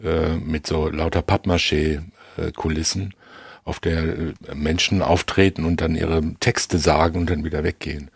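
A man discussing film reflectively in halting, long-winded, analytical sentences. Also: very deep voice, little pitch movement, gravelly, slightly rough, no echo, clean studio sound. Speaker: podcast co-host discussing films.

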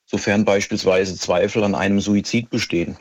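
A middle-aged man answering interview questions as a police expert, explaining in a methodical, precise and measured way. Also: fairly low pitch, fairly even intonation.

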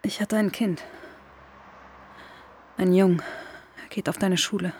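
An actress playing a guarded, secretive woman with a hard past in a naturalistic radio drama, terse and halting, with a husky voice. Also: lowish voice, steady tone, dark tone. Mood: hesitant, confiding. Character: guarded, secretive woman on the run, scarred mother.

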